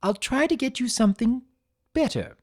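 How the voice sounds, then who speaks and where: Narrator acting refined cartoon unicorn lady, raised pitch, proper, proud, formal, easily flustered, storybook reading. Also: expressive, normal speed, a little mellow, lightly acted. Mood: hurt, formal.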